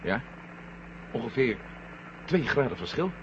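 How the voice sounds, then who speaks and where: Wry astronaut, muffled noisy recording. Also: male voice, medium pitch, very animated, unhurried. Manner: dry, wry sarcasm. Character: cool-headed sardonic male astronaut in space. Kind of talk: 1970 science-fiction radio drama acting. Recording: muffled, noisy old radio drama recording.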